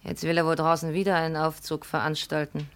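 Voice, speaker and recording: A woman's voice: deep voice, little pitch movement, a little fast, close to an everyday voice. An actress in a 1950s German radio play playing a proud, troubled daughter ashamed of her mad father, dramatic and emotional. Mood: bitter, hurt.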